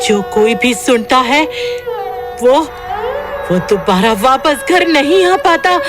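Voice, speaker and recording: An actress plays a terrified mother in a horror audio drama. Her voice is high and she speaks slowly, stumbling over repeated words in a Rajasthani accent. Loud music runs behind her. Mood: frightened, hushed. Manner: halting, fearful, repeating words. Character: terrified rural mother guarding her child.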